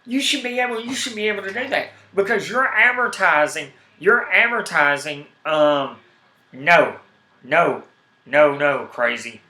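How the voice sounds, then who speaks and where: A man with a heavy Southern accent rambles through his live stream, fired up and repeating himself. His voice sits a little high and comes across bright and forceful. The sound carries the echo of a bare room. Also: a little slow. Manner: rambling, animated, repeats words when worked up. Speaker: YouTube streamer. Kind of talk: casual live-stream chat.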